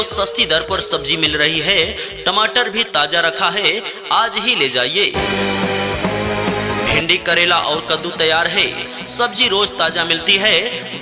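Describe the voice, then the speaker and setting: A man with a high-pitched voice reads a scripted street-seller announcement at an unhurried pace. He calls out to passers-by in a repetitive sales pitch. Music plays clearly behind him.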